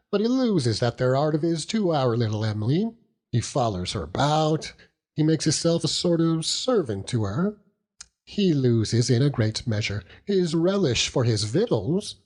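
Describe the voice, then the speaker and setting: Hearty old country seaman, chuckling, overjoyed, lively pitch, unhurried audiobook character. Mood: fond, earnest. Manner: chuckling, rambling, dialect-heavy storytelling with exclamations. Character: hearty old working-class seaman uncle, doting and overjoyed.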